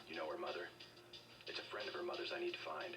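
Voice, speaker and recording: Cool covert operative, extremely low voice, deadpan, video game dialogue, distant under loud music. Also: male voice, fast. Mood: cool, businesslike. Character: terse hard-boiled male covert agent, coolly unflappable.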